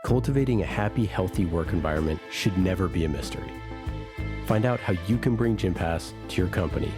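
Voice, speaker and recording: A man announcing podcast sponsor messages and credits in a scripted read. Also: deep voice.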